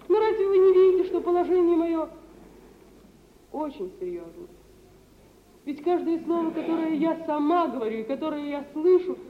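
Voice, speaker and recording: An actress playing a proud, sensitive young woman in a stage drama, with impassioned theatrical delivery and weighty pauses, in mid-century radio theatre style. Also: very high voice, roomy sound. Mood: hurt, reproachful. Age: young adult. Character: proud, sensitive young dowerless bride, yearning and wounded. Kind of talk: 1950s Russian radio theatre acting. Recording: muffled, hissy mid-century radio recording.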